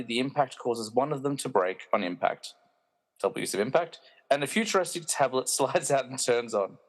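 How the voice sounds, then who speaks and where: A man's voice: medium pitch, rough recording. A man narrating a scripted comic radio play with wry, self-aware delivery.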